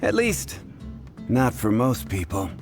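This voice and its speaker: Composed, wry tavern bartender. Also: male voice, medium pitch, sing-song. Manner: measured, dry, polite. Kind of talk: video game dialogue. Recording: prominent music behind the voice.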